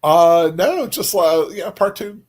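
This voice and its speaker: A man co-hosting a livestream in casual chat, giving brief, hesitant, low-key remarks. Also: lively intonation, takes it slowly, darkish tone. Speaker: livestream co-host.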